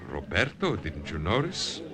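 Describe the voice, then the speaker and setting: An actor playing a hard, vengeful partisan leader with a blunt, taunting manner in radio drama. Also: male voice, very deep voice, huge pitch swings, unhurried, sharp tone, heavily acted. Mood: taunting, bitter. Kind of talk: 1950s radio drama acting. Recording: old radio recording with crowd noise.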